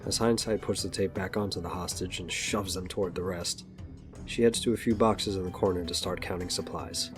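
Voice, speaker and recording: A man's voice: low voice, steady tone, fairly quick, music in the background. A man narrating a scripted audio drama, telling the action as a storyteller.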